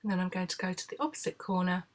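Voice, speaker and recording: Hoarse woman with a cold. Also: on the low side, racing. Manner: calm, explanatory, frequent "uh" fillers. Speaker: craft tutorial host. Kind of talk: step-by-step tutorial voice-over.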